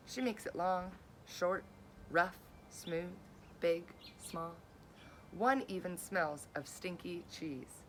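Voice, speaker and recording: A woman teacher reading a children's picture book aloud with expressive, deliberate phrasing, as if to young listeners. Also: on the low side, slight background noise. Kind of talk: children's storybook read-aloud.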